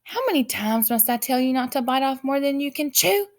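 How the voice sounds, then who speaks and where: Woman as touchy, high-pitched storybook noblemen. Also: expressive, a little fast. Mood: scolding. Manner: shouting, scolding, offering. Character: squabbling, touchy banquet noblemen, scolding and punning.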